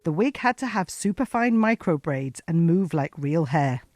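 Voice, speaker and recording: A woman reporter narrating a broadcast piece in a measured voice. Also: fairly low pitch, animated, darkish tone, dry recording, clean studio sound.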